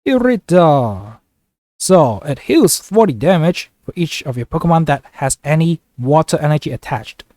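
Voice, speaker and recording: A man with a slightly high voice, a little rough and congested from a flu, chats through card game strategy in an upbeat, joking way. He is recorded close up in a dry space with no room echo. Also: big pitch swings, normal speed, warm tone. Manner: upbeat, chatty, jokey. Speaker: gaming YouTuber. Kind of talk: casual gameplay commentary.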